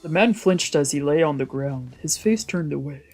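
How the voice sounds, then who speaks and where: A woman's voice: low voice, lively intonation, normal speed, darkish tone. A woman streamer reading visual novel narration aloud on a let's play. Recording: music behind the voice.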